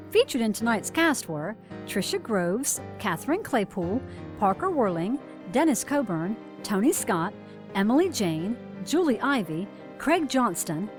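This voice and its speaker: A woman announcer reading scripted end credits for an audio drama. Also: medium pitch.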